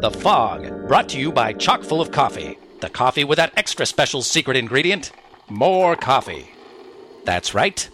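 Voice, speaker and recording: An actor playing an earnest, salesy announcer in a parody of a 1940s radio sponsor spot. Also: male voice, medium pitch, sing-song, normal speed, close to an everyday voice. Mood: grandly salesy. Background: music.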